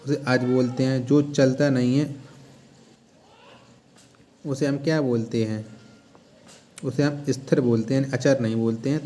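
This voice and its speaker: Guy doing exam coaching, soft mellow voice, goes thru questions n repeats the key bits. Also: mid-range voice. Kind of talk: question-and-answer lesson narration.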